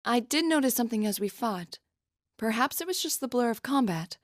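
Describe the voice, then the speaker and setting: A woman's voice: fairly high pitch, lively intonation, brisk, no room sound, studio quality, natural voice. A woman playing a composed, bold young warrior in a video game, with formal wording. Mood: thoughtful. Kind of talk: English video game voice acting.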